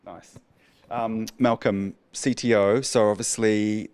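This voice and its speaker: A man's voice: lowish voice, steady tone, takes it slowly, darkish tone, clean tone. A man moderating a panel discussion, putting questions to the speakers in turn.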